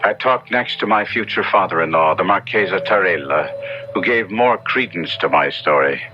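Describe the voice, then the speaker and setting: A man's voice: deep voice, lively intonation, moderate pace. An actor playing a repentant, wounded young nobleman in a 1970s radio drama, speaking in an earnest, literary way. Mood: reflective, rueful. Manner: earnest, literary, self-reproachful. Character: repentant, wounded young Italian nobleman recounting his ordeal. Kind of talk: 1970s radio drama acting, first-person narration. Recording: dull 1970s radio recording with music.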